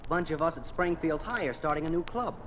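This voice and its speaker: A man with an American accent playing a slick, persuasive high school recruiter in a 1950s radio drama. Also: a little fast, close to an everyday voice. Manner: friendly, persuasive patter. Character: slick high school boy recruiting for a communist youth club. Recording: noisy old 1950s radio recording.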